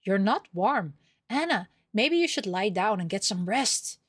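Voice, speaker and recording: A woman voicing a friendly, caring young maid in a visual novel, with a gentle manner. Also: mid-range voice, sing-song, unhurried, breathy edge, dry recording, studio quality. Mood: concerned.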